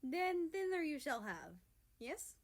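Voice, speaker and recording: Storybook man, played by a woman reader, protective older brother, teasing and affectionate, very slow delivery. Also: sits high, big pitch swings, voice pushed up, exaggerated voice.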